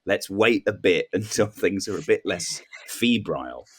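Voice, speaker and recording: A man's voice: low-pitched, expressive, a little slow, husky. A man speaking as a comedy panel guest, casual and questioning, hesitantly working through his thoughts and acting out imagined remarks. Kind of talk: casual comic chat.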